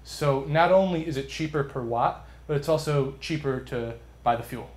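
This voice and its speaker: A man's voice: a little high, normal speed. Earnest young man in an echoey room. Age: young adult. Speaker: student contestant pitching a business idea. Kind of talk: explanatory pitch and answers to judges. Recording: roomy, echoing live sound.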